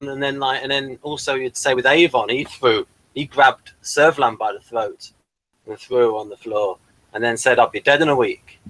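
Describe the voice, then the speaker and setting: A man's voice: medium pitch, fairly even intonation, moderate pace, a bit bright, no echo, low-quality recording. A man speaking as a panelist in a casual review discussion.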